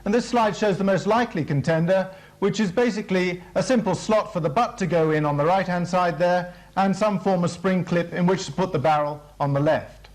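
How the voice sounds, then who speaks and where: A British man with a notably high voice delivers a formal military briefing. He explains things in a measured way, as a training film presenter working from a script.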